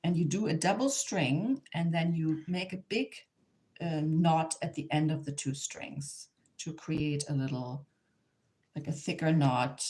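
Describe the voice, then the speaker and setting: A woman from Germany with a German accent gives an informal hands-on craft demonstration in English as a second language, sometimes halting and repeating herself as she searches for words. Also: deep voice, dark tone. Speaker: craft instructor, artist.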